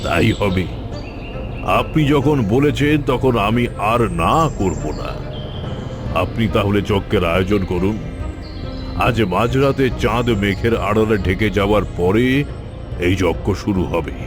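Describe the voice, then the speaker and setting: A man voicing a scheming, vengeful tantric sorcerer in a cartoon fairy tale, boastful and theatrical in his deliberate delivery. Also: on the low side, animated, music in the background. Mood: solemn, persuasive.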